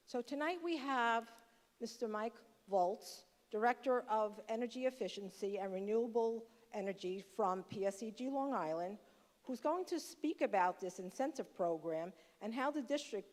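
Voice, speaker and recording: A woman explains capital projects to a school board in a steady, explanatory voice with a few fillers. Her voice carries a long reverb from the meeting hall. Her pitch sits in an ordinary middle range. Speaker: assistant superintendent for business. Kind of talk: formal presentation to a board.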